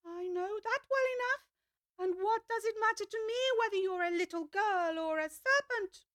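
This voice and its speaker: A woman's voice: extremely high pitch, moderate pace, a bit bright, no echo, clean studio sound, pitched above the performer's own voice. A woman voicing an indignant mother pigeon guarding her eggs, accusing and sulky in a storybook reading. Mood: shrill, indignant.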